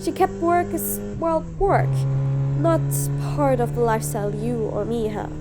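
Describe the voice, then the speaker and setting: A high-pitched female supervillain, acted by a woman for an audio roleplay. She is bossy and sardonic, mean yet patient, and her menace comes with a grudging fondness for the one she addresses. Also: animated, unhurried, loud music behind the voice. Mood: businesslike.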